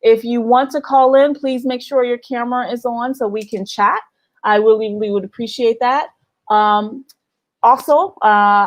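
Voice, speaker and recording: A woman hosting a casual livestream vlog, chatting to viewers and laughing often. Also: mid-range voice. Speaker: vlog host running a livestream. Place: living in Ghana.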